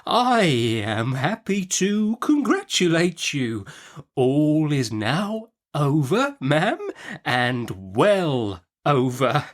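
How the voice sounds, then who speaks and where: Man doing a meek, high, hesitant gentleman doctor. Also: sing-song, heavily acted. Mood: timid, careful. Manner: soft, hesitant, stammering, slow and deferential.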